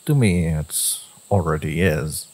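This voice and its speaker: Man acting a husky, low-voiced brooding villain lover. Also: lively intonation, a little mellow, heavily acted. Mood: intense, devoted. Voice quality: husky, gravelly. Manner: slow, deliberate, intimate, intense. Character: brooding, devoted, possessive male villain lover. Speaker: audio roleplay voice actor. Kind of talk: dramatic romance audio roleplay monologue.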